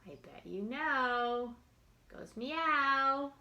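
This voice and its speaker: A woman leads a children's storytime with a bright, slightly high voice. She takes her time over short, simple phrases. She speaks straight to young listeners, adding small questions and asides as she reads. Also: expressive, drawn-out words, muffled recording. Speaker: children's librarian storytime host.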